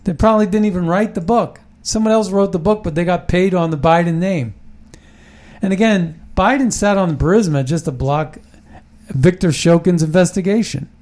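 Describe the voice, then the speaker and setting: A man's voice: high voice, moderate pace, a little mellow. A man hosting a casual political talk show, rambling and emphatic, often restarting his sentences.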